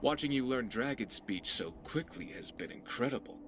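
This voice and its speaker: A man's voice: medium pitch, animated, moderate pace, noisy background, natural voice. A man playing a wry, curious, easygoing pirate companion in a video game, talking in a sardonic, conversational way. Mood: wry, musing. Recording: background music under the voice.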